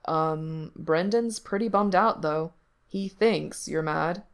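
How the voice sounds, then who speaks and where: A woman's voice: sits low, slow pace, clean tone. A woman voicing a caring, patient father figure in a story, speaking frankly and informally. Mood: worried, gentle. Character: caring, patient fatherly man, gently concerned.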